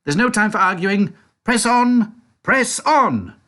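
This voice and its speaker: A man voicing an excitable, eccentric male chocolate-factory owner who shouts bossy orders with glee. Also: high voice, slow pace, voice pushed up, heavily acted. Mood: impatient.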